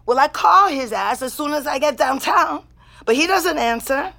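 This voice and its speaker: High-pitched female ex-convict, bitter, streetwise, profane, emphatic slang, naturalistic audio drama. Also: animated, moderate pace, creaky, sharp tone, heavily acted. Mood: bitter, defensive. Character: bitter, foul-mouthed woman just out of sixteen years in prison.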